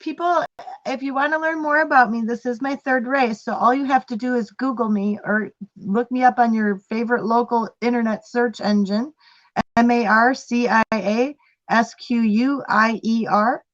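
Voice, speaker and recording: A woman political candidate briskly telling people how to find her campaign, over a video call. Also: a little high, fairly even intonation, dull audio. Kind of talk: live roundtable discussion.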